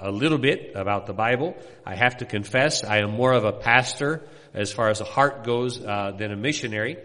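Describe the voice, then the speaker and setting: A middle-aged man from Indiana, a missionary pastor, introducing himself to a congregation in a folksy, rambling way with many pauses. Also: on the low side, fairly bright tone, long reverb tail. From Indiana, USA.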